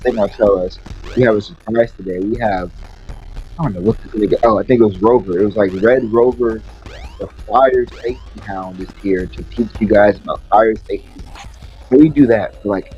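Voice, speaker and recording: A young man telling a casual story to friends in an animated, slangy, profane way, acting out the dialogue. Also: fairly low pitch, brisk, dull audio. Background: video game music.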